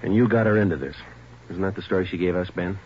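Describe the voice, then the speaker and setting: An actor as a deep, clipped police detective. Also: male voice, fast talker, lightly acted. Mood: pressing. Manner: clipped, matter-of-fact, pressing. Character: firm, businesslike male police detective, relentless interrogator. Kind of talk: 1940s radio drama acting. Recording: noisy, muffled 1940s radio recording.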